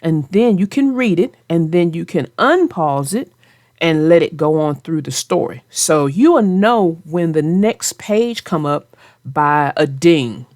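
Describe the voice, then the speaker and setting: A woman's voice: sits low, animated, measured pace, darkish tone, dry recording, studio quality. A woman hosting and narrating a read-aloud for young children, speaking directly to her young listeners. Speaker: children's book narrator and channel host.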